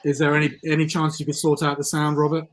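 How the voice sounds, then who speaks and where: A man's voice: fairly high pitch, completely flat delivery, quick pace. A man hosting a live election-night stream, hesitant with many ums, losing his voice a little. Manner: hesitant, frequent ums and restarts. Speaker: livestream host. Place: studio.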